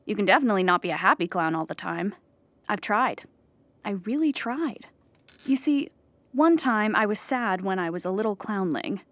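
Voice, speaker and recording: An actress playing an anxious, childlike clown who rambles earnestly in a theatrical audio comedy monologue. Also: female voice, mid-range voice, expressive, moderate pace, a bit bright, no room sound, natural voice. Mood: pleading, rambling, then wistful. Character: anxious, childlike clown pleading at an appeal hearing.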